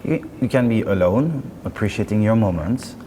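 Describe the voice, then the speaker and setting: Male company spokesman, deep-ish voice, conversational and self-correcting, recorded in a noisy reverberant show space. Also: animated, dark tone. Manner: chatty, halting and self-correcting. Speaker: company representative being interviewed. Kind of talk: casual interview chat. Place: indoor hi-fi show. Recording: roomy hall, clear background noise.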